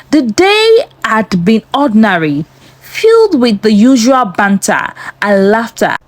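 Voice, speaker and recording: A female narrator reads a suspenseful folktale with a dramatic delivery. Her voice sits a little high and swings expressively up and down. She takes her time over each phrase, keeping a slow, measured pace. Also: no room sound. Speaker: storyteller narrator.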